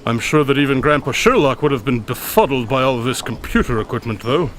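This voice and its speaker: A man's voice: mid-range voice, fast talker, fairly bright tone, slight background noise, close to an everyday voice. A man playing a courteous, self-assured detective with dry wit and formal, gallant phrasing in a radio drama. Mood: wry, alert.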